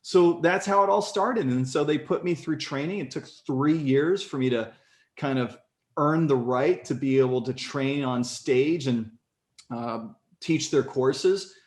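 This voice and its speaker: A man in his forties telling his story as a podcast guest in casual conversation, animated and leaping up in pitch when excited. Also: measured pace. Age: 40s. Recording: echoey room.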